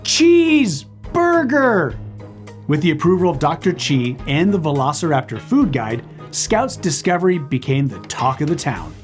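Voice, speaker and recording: A man co-hosting a children's read-aloud, narrating in a playful, expressive way. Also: on the high side, measured pace, faint music behind.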